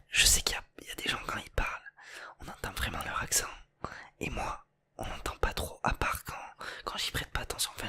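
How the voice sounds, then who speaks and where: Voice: medium pitch, pushed and bright, slightly rough. A person with a light southern French accent, whispering an informal, unscripted ASMR chat straight to the viewers. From southern France. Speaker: ASMR video creator.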